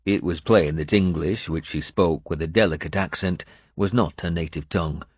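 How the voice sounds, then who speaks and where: A man's voice: deep voice, moderate pace, breathy edge, no echo, studio quality. A man narrating an adventure story in the first person as a dramatic audiobook.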